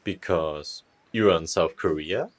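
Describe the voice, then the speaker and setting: A man's voice: sits low, takes it slowly. A man playing a kindly, teasing apparition of a dead friend in an audio drama, with a playful, musing manner.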